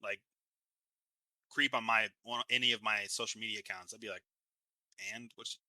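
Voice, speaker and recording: A man's voice: on the low side, flat delivery, normal speed, bright, forceful tone, no room sound, low-quality recording. A young man co-hosting a podcast in casual chat, blunt and argumentative, swearing a lot. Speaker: podcast co-host.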